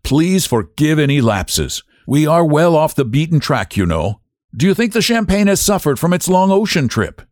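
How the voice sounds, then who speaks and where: A man voicing a courteous, menacing aristocratic general who hunts, talking slowly and deliberately in a higher put-on voice. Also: big pitch swings, a little mellow, no room sound, clean studio sound. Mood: suave, boastful. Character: suave aristocratic male general, a hunter, menacing.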